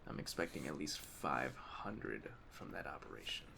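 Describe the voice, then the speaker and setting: A man playing a calm, commanding aging mafia boss in an ASMR roleplay, measured, streetwise and gravelly. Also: very deep voice, heavily acted. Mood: businesslike, measured. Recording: clear ambient storm noise behind. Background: storm ambience.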